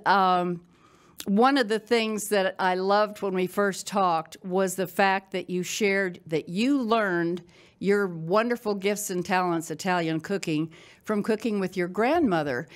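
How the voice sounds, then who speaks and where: Older female podcast host, measured and slow, middle-pitched, conversational. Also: clear, resonant tone. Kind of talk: conversational interview and sponsor read.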